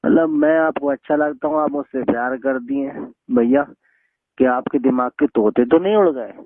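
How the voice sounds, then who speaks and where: A man's voice: medium pitch, normal speed, dry recording. A young man, arguing bluntly and scoldingly in a phone conversation. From northern India. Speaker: phone call recipient. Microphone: telephone. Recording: tinny phone-line call recording.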